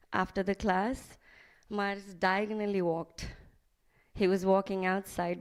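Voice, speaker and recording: Woman, from Nepal, gravelly voice, halting, heartfelt reminiscence. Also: medium pitch, darkish tone. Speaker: devotee giving a remembrance. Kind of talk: personal reminiscence at a memorial gathering. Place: temple hall.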